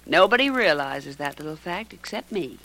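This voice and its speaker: An actress playing a bold, shrewd secretary in a 1940s radio detective drama. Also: female voice, low-pitched, lively intonation, moderate pace, creaky, a bit bright, full character voice, low-quality recording. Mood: cool, taunting. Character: bold, shrewd female secretary posing as blackmailer. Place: radio studio.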